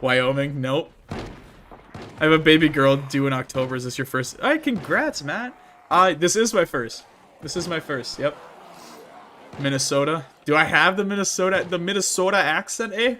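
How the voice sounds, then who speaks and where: A man's voice: on the high side, expressive, moderate pace, pushed and bright, a little hiss or hum. Laid-back Midwestern man chatting on stream. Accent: Midwestern American. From Midwestern United States. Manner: reads chat messages aloud and answers them, laughing often. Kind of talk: casual livestream chat while gaming.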